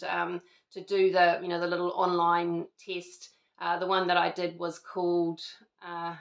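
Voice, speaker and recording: A woman's voice: fairly low pitch, a bit bright, echoey room. A middle-aged woman with a New Zealand accent, chatting casually to camera at home, rambling with lots of fillers and restarts. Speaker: vlogger. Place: at home indoors.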